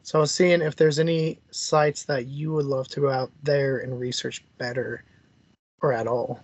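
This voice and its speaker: A man's voice: medium pitch, fairly even intonation, moderate pace, a little mellow, dry recording, highs cut off. A man hosting an interview, asking questions in a casual, conversational way. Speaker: interview host.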